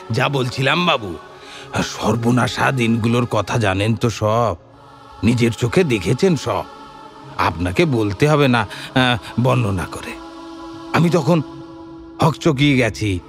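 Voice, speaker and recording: A man's voice: mid-range voice, vocal fry. An actor playing a rustic male bandit, telling bitter tales in an emphatic, theatrical way, full of rhetorical questions, for an audio drama. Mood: wry, familiar, then pained, angry. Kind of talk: dramatised audio story dialogue.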